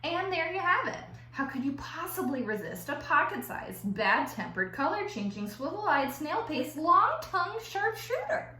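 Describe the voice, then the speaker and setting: A woman with a bright, forceful voice reads aloud to children like a playful teacher. Her pitch sits fairly high and swings widely as she stretches words for emphasis and drops in chatty asides. The room sounds very echoey. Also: sing-song, normal speed, hardly any pauses. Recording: roomy echo with faint background noise.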